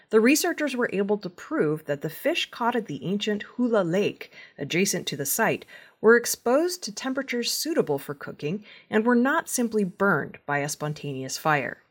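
A woman's voice: medium pitch, big pitch swings, moderate pace. A woman reading scripted news as a newsreader. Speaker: news reader.

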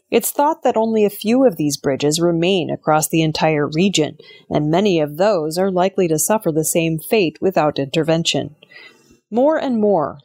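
A woman's voice: on the low side, darkish tone. A woman news presenter reading scripted news narration.

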